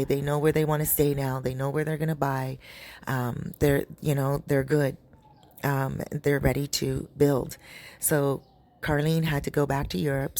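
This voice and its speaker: A woman with a raspy, dry-throated voice, chatting to her viewers as she tells a long story. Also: sits low, a little mellow. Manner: chatty, addresses viewers directly. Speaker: storytelling vlogger. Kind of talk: casual storytelling. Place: home in a Caribbean town.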